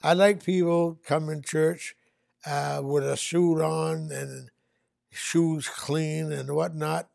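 An older man speaking slowly in an interview, easygoing and chuckling about his church's dress habits. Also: fairly high pitch, steady tone, vocal fry, a little mellow, no echo, studio quality. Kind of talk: interview reminiscence.

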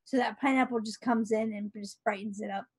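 A woman's voice: fairly high pitch, fairly even intonation, a little fast, husky. A woman panelist on a video call, presenting a recipe in casual, explanatory talk. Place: bedroom at home.

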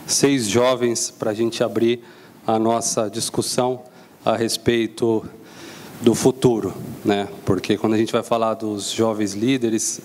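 A man's voice: on the low side, slight background noise. A man in his twenties speaking from a lectern in an auditorium, formally welcoming the audience, thanking people and introducing a guest. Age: 20s. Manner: polite, thanks and introduces at length. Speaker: event host. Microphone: stand microphone.

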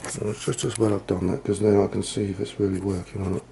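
A low, gravelly male voice with a dark, mellow tone talks through a hands-on demo at an easy, rambling pace. He thinks aloud and is quick to call himself naive. A faint hiss sits under the recording. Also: level tone. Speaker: retro computer hobbyist.

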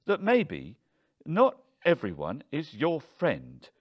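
A man playing a tense, bitter older husband in an audiobook, defensive, confrontational and quick to anger. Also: a little high, huge pitch swings, muffled recording, voice pushed up, heavily acted. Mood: angry.

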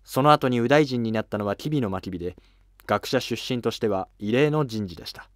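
A man's voice: medium pitch, lively intonation, brisk, dry recording. A man giving scripted narration as the narrator of a history programme.

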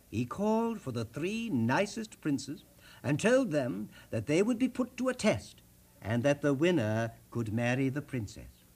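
A man with a high voice tells a children's story in a theatrical way. His pitch swoops widely, and he phrases things dramatically and deliberately as the host. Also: breathy edge. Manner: dramatic, deliberate phrasing with direct questions to listeners.

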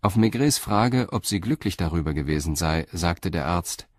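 A man narrates a crime radio play in a calm, even way. His very deep voice is recorded close and dry, with no room sound. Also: moderate pace, dry recording.

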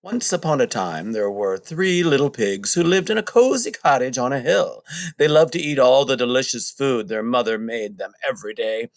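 Middle-aged man, children's story narration, animated pitch, emphatic, dry room sound. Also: dry recording. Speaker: storybook reader.

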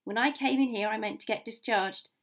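A woman voicing a gruff, blunt, stern male ship's captain in an audiobook, with curt, formal seaman's speech. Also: on the high side, fairly quick. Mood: stiff, resolute. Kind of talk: audiobook character voice.

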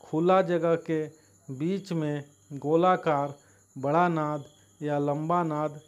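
A man narrates a farming how-to at a slow pace. His voice sits a little high, and he speaks haltingly in short, separated phrases. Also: steady tone, lo-fi sound. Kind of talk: instructional voice-over narration.

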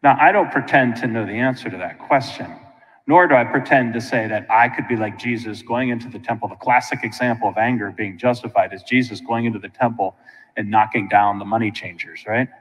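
American priest preaching in a reverberant church. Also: male voice, medium pitch, fairly quick. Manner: colloquial and animated, with frequent asides to listeners. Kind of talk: informal conversational sermon. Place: large church sanctuary, indoors.

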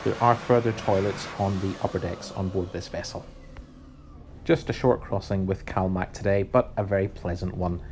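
Male travel vlog narrator, forties, low voice, some noise behind him. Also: steady tone, moderate pace, darkish tone. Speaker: travel vlogger and host.

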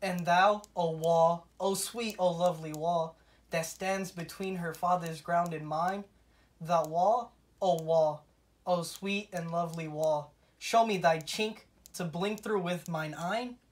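A woman's voice: on the low side, steady tone, slow. A woman voicing a pompous, melodramatic male lover in an amateur puppet show, declaiming verse hammily. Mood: ardent. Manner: hammy, declamatory. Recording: noisy home recording.

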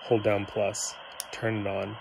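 A man with a fairly deep voice and plenty of vocal fry talks through a hands-on demonstration. He is informal and unscripted, pausing and rambling a little as he explains. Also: monotone, noisy background. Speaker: hobbyist demonstrating a gadget. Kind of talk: casual unscripted tutorial. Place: indoors in a living room.

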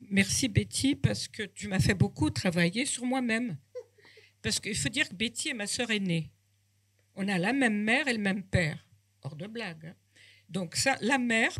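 Older female panelist, husky voice, playful, handheld mic. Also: medium pitch, a bit bright. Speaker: panelist, the author's sister. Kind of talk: panel remarks. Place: bookshop, indoors.

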